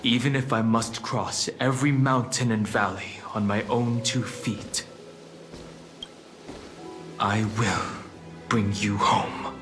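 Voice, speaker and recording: Slow, solemn, steadfast young fantasy hero. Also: male voice, lowish voice, fairly even intonation. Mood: solemn vow. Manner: measured, earnest, declamatory. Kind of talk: dramatic scripted game voice acting. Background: loud music.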